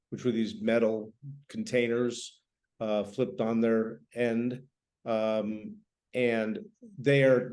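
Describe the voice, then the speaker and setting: A man's voice: mid-range voice, darkish tone. An older man, a writer introducing and reading from his own novel as a guest.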